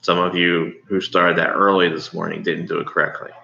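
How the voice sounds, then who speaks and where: Deep, gravelly American man in a roomy space. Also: flat delivery, fairly quick, sharp tone. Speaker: elementary school teacher. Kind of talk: informal read-aloud lesson for pupils. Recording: roomy, dull, faintly noisy home recording.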